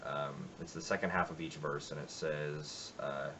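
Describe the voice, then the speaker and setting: A man's voice: a bass voice, little pitch movement, moderate pace, sharp tone, a little hiss or hum. A man talking casually and reflectively in his home music room about a song he covered, with lots of fillers. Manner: rambling, reflective, many fillers. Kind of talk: casual spoken intro to a cover.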